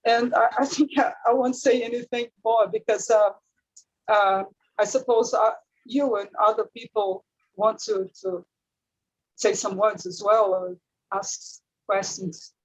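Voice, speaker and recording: A woman speaking in an online seminar, halting and emphatic. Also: medium pitch, steady tone, husky, highs cut off.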